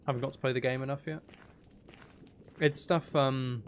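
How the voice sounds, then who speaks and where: A man's voice: mid-range voice, fairly even intonation, moderate pace, slight background noise. A man giving casual gameplay commentary, rambling and thinking aloud to himself as a gaming video host.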